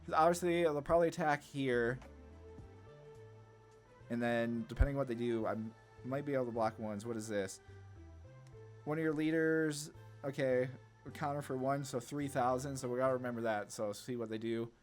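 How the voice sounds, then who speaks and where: A man's voice: medium pitch, moderate pace. A man giving casual card game commentary, thinking aloud and often questioning his own choices as he goes. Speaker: gameplay video host. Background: background music.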